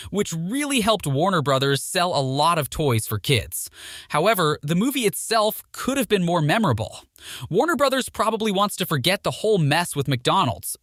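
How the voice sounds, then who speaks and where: A man's voice: fairly high pitch, fairly bright tone, no echo, studio quality. A man reading a scripted film trivia narration in a presenter's manner, posing questions to the listener. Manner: presenter-like, poses rhetorical questions. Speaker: video narrator.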